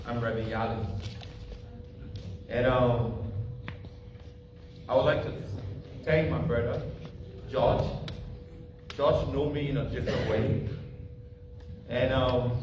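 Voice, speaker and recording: Earnest preacher in an echoey hall. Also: male voice, medium pitch. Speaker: preacher speaking at a community meeting. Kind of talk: public comment at a meeting. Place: meeting hall. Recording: echoey hall, distant mic, noisy.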